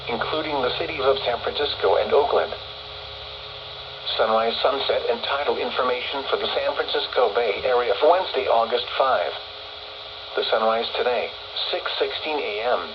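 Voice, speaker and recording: A synthetic-sounding man's voice reads a scripted weather and marine forecast as an automated radio announcer, formal, measured and impersonal, transmitted over radio. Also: medium pitch. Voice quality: synthetic text-to-speech voice. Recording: noisy, muffled weather radio reception.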